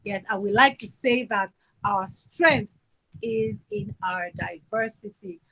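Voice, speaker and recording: A Nigerian woman with a Yoruba accent, presenting her country's culture and songs in an unhurried talk full of fillers. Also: mid-range voice, gravelly, slight background noise, low-quality recording. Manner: sings folk songs, speaks slowly and warmly with fillers.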